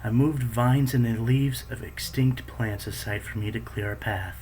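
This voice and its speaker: A man reading his own story aloud in a plain way, his voice jumping higher for the quoted thoughts. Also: steady tone, moderate pace, darkish tone, a little hiss or hum. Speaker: fanfiction reader.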